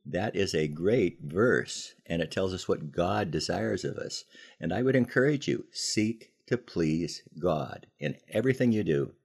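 Deep-voiced older American man telling stories. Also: lively intonation, measured pace, creaky, a little mellow, breathy edge. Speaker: podcast host telling army memories. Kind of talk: conversational storytelling with moral lessons.

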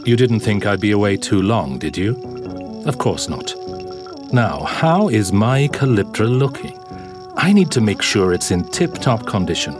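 An actor playing an imperious, dismissive scientist in an audio drama, pompous and condescending. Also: male voice, on the low side, sing-song, unhurried, music in the background. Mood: smug, menacing. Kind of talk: immersive audio drama acting.

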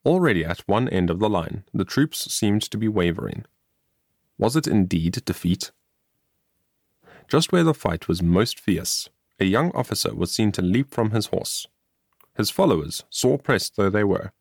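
A man narrating a scripted moral story as an audiobook, in a measured, earnest and formal reading manner. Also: fairly low pitch, sing-song, dry recording, clean studio sound.

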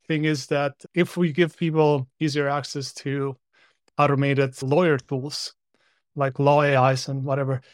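A man's voice: a little high, no room sound, studio quality. A man speaking as a panel guest in a casual discussion, arguing his point in a matter-of-fact way.

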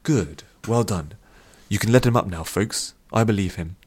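A man as a patient old healer, low-pitched. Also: darkish tone, slightly rough. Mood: calm bargaining. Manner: measured, reasoning, reassuring. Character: calm, patient, kindly old male healer.

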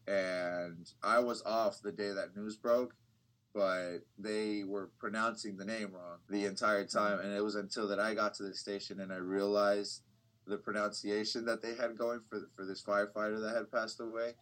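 Low-voiced young man, rambling easily. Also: poor audio. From United States. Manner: relaxed, rambling, many restarts and fillers. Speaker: bilingual TV reporter, guest. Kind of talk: casual interview talk.

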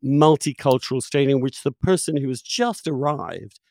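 Emphatic male interviewer with animated, swooping intonation. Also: medium pitch, moderate pace, no echo, studio quality. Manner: emphatic, probing. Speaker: interviewer, host. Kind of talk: opinionated conversational interview.

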